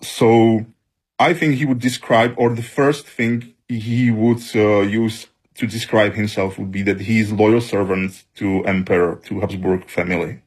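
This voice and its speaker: Husky, hesitant male historian. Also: fairly low pitch, steady tone, a little slow. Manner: thoughtful, hesitant. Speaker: historian interviewee. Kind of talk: interview answer.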